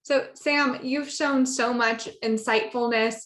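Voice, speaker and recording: A woman's voice: a little high, fairly even intonation, slow, reverberant. A woman hosting the session, thanking a presenter and introducing the next one in an appreciative tone. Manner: warm and appreciative. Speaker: session host, speech clinician. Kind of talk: introducing speakers.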